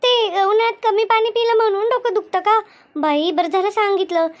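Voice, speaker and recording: A woman voicing a chatty, good-humoured village gossip in an animated comedy, with a rural Maharashtrian accent and exclaiming, colloquial talk. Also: very high-pitched, a little fast. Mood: cheerful. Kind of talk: animated cartoon comedy dialogue.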